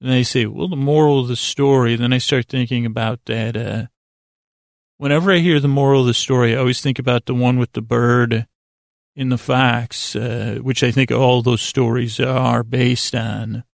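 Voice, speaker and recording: A man hosting a bedtime podcast in a lulling, rambling monologue, halting and self-deprecating as he circles back on himself. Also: medium pitch, fairly even intonation, creaky, no echo, studio quality. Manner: lulling, soothing delivery. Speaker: podcast host.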